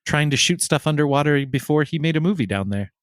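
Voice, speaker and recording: A man's voice: a little high, expressive, fast talker, no room sound. Man, podcast co-host, easygoing film chat, dry close mic. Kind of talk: casual film discussion.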